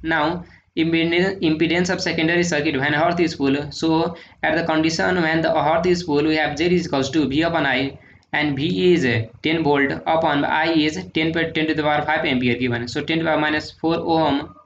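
A man working through engineering numericals in a steady, methodical lecture, often adding "uh" and "sir". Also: fairly high pitch, a little fast. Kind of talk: solving numericals on handwritten notes. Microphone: voice-over on screen recording. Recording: echoey room, dull lo-fi sound, faint noise.

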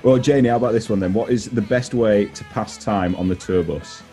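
Low-pitched young man hosting a casual interview. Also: fairly quick, dark tone, faint music behind. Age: young adult. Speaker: radio show host, interviewer. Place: indoors at home, on a video call. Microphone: headset.

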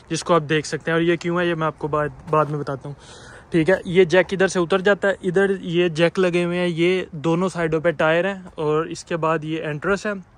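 A man's voice: fairly high pitch, a bit bright. A young man giving a casual, explanatory walk-through tour as a vlogger. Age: young adult. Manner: explanatory, talking while walking around. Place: outside by a camper, then inside its small interior. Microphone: phone at arm's length. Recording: handheld recording with clear background noise.

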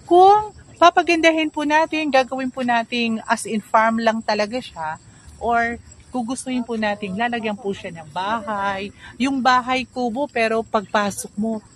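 A woman selling land in a casual, upbeat and persuasive pitch, walking outdoors on a rural dirt road. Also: a little slow, low-quality recording. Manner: chatty, upbeat, polite, persuasive. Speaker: real estate seller.

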